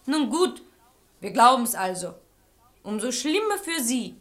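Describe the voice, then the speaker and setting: Insolent housemaid, high-pitched, sharp-tongued, mocking, theatrical radio verse. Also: female voice, big pitch swings, a little slow. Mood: sharp, reproachful. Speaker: actress. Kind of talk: theatrical German radio play acting in verse.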